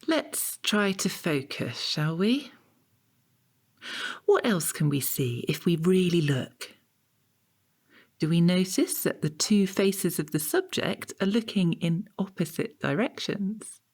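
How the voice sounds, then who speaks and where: An actress playing a prim, austere art tutor in an audio comedy drama, clipped and schoolteacherly, with faint disapproval. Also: female voice, fairly low pitch, expressive, slow, darkish tone, breathy edge, full character voice. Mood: patient, probing.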